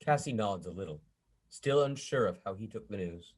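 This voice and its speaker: A man narrates a screenplay at a table read, reading out stage directions and scene headings. His voice is rough and husky, sitting somewhat low. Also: animated, moderate pace, a little mellow.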